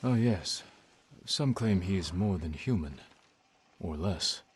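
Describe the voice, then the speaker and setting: An actor playing a stoic, resolute samurai in a video game, speaking in a measured, earnest, formal way. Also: male voice, low voice, lively intonation, a little mellow, slight background noise. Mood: gentle. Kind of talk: restrained game voice acting.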